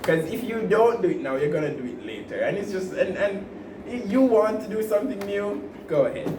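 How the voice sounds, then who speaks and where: A man's voice: high voice, expressive, fairly quick. A man co-hosting a podcast, giving rambling, laughing advice in casual chat, with many restarts. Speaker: podcast co-host. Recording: roomy, distant mic with noise.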